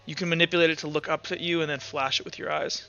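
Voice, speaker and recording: A man narrating a tabletop roleplaying game as game master in an animated, casual, profane way. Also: on the high side, fast, clean tone, highs cut off, faint music behind.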